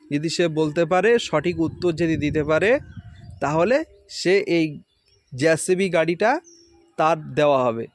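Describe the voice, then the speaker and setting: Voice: mid-range voice, no room sound. Quiz host, close dry sound. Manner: explains and repeats answers patiently. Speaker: quiz host questioning children. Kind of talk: informal spoken quiz with children.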